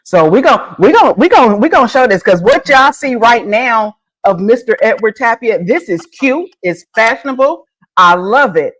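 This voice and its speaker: A woman's voice: on the high side, animated, normal speed, poor audio. A woman hosting a casual interview podcast, playful and enthusiastic, hyping up her guest. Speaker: podcast host.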